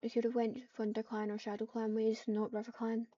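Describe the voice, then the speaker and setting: A woman's voice: on the high side, monotone, brisk, mellow, dry recording. A woman playing an online game, reading out her typed roleplay and muttering casual asides to herself. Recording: dull lo-fi mic, keyboard clatter. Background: typing, clicks and noise.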